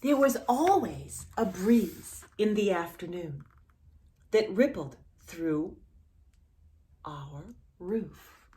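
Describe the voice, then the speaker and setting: A woman hosting a read-aloud storytime for young children, speaking in an animated way as if straight to the child listening. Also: on the low side, very slow. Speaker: children's storytime host reading a picture book aloud.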